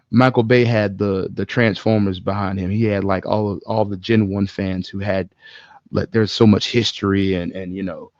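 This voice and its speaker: A man's voice: lowish voice, darkish tone, dry recording, highs cut off. A man podcast co-host chatting casually, opinionated and emphatic, swearing freely as he makes his points. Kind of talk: casual chat about video game movies.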